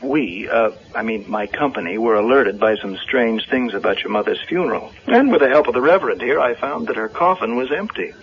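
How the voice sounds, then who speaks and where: An actor playing a confident young insurance adjuster and romantic hero in 1970s radio drama style. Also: male voice, medium pitch, lively intonation, fairly quick, hardly any pauses, lightly acted. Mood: explaining. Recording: muffled vintage radio broadcast recording.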